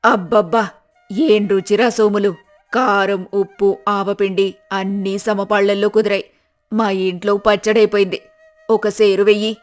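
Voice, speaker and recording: A woman's voice: mid-range voice, level tone, a little slow, faint music behind, close to an everyday voice. A woman voicing a village official's wife in a folk tale, a teasing and bossy customer. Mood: delighted. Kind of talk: dramatized folk tale acting.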